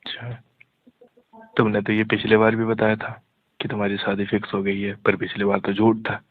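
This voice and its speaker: A man's voice: lowish voice, monotone, normal speed, no echo. A man talking casually and privately with someone on a phone call. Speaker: call recipient in a phone conversation. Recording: dry, narrow tinny phone line.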